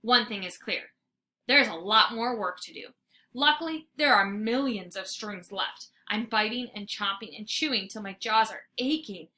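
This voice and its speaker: A woman reading a children's story aloud like a teacher, with dramatic, expressive narration. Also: medium pitch, moderate pace. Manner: dramatic, animated.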